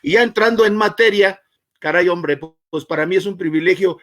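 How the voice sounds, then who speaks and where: A man from Mexico's Guerrero coast, speaking as a chronicler on a virtual panel, pausing often on filler sounds as he talks. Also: sits high, a bit bright. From Mexico, Costa Chica of Guerrero. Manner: hesitant, many filler "eh" pauses. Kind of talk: formal virtual panel talk. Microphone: video call.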